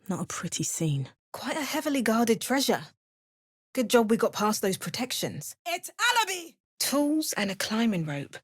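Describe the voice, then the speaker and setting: A wry, adventurous heroine of a fantasy video game, acted by a woman. She speaks in a measured, understated way at a middling female pitch. The sound is close and dry, with no room around it. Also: no room sound, close to an everyday voice. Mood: fierce incanting. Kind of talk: scripted fantasy game dialogue.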